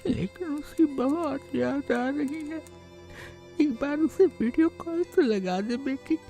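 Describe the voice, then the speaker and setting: A woman's voice: high-pitched, slow pace, warm tone, faint music behind. A woman playing a frail, ailing old grandmother who speaks tenderly and pleadingly in an audio moral story. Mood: weak, longing. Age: older.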